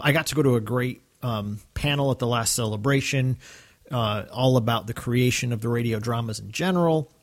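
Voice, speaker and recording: A man co-hosting a fan podcast, talking casually and chattily with rambling asides. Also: medium pitch. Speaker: podcast co-host.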